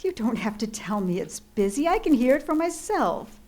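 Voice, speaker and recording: An actress playing an anxious, demanding bedridden wife in an old-time radio thriller, flustered and easily exasperated. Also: female voice, a little high, sing-song, normal speed, noisy background. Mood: impatient. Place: live theatre stage radio play.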